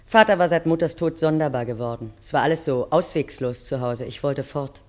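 A woman's voice: low-pitched, fairly quick, natural voice. An actress playing a weary railwayman's wife in a 1950s radio play, addressing her husband intimately and dramatically. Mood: reminiscing. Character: weary, pleading railwayman's wife looking back on youth. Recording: 1950s radio recording over music.

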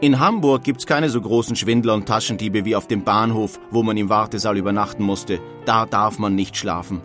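An actor playing a 19th-century German emigrant reading his letter home in a measured, formal way for an audio documentary. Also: lowish voice, fairly bright tone, faint music behind, lightly acted. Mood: wary.

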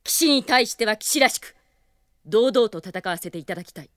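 An actress playing a proud, honorable young princess commander in a radio drama, with stern, formal, knightly speech. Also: female voice, medium pitch, lively intonation, fairly quick, no room sound, clean studio sound, close to an everyday voice. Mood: stern, indignant.

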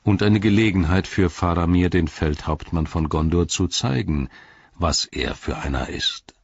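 Man as storybook captain of men, grave, stern, quiet, formal, very low pitch, breathy rasp, slightly muffled recording. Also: a little slow, heavily acted. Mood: ominous, ironic. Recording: slightly dull, roomy sound.

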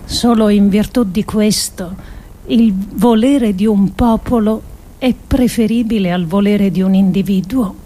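A woman performing a scripted radio reading in a measured, deliberate, declamatory way with pauses. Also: mid-range voice, warm tone. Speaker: performer reading an adapted essay.

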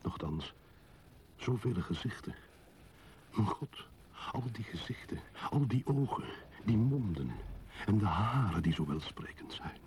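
An actor playing a brooding, lonely man in a poetic radio play monologue, solemn and halting, with breathy phrase endings. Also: on the low side, lively intonation, a little mellow, slight background noise. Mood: wondering, anguished. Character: brooding lonely man wandering rainy city streets.

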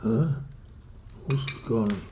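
A man's voice: lowish voice, slow pace, warm tone. An actor playing a disapproving, gossipy middle-aged father in a radio drama, grumbling and dismissive. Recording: roomy, with clinking tableware noise.

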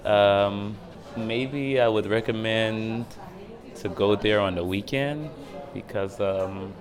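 A young man from Israel chatting casually as an interview guest, speaking in a hesitant, reflective, halting way. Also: lowish voice. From Dimona, Israel. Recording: music playing behind the voice.

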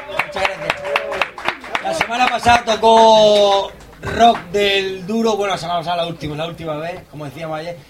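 A man with a notably high voice speaks in a casual, chatty style. He addresses those listening informally, like people he already knows. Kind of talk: casual chat.

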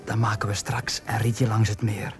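A man's voice: lowish voice, level tone, moderate pace, over music, close to an everyday voice. An actor with a Flemish accent playing a stern, reserved aristocratic father and husband in a radio drama. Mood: calm, resigned.

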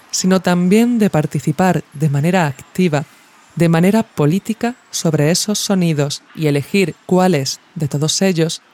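A narrator reads a scripted audio essay in a measured, reflective way, phrasing each thought deliberately. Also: medium pitch, a little mellow. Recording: music behind the voice. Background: music.

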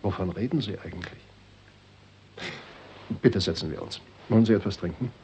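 A man's voice: lowish voice, moderate pace, a little mellow, a little hiss or hum, natural voice. An actor playing a suave, cynical doctor and father in a radio play, sardonic and coldly self-serving. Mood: suave, controlling. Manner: sardonic, sweeping between smooth and scornful. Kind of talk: 1960s German radio play acting.

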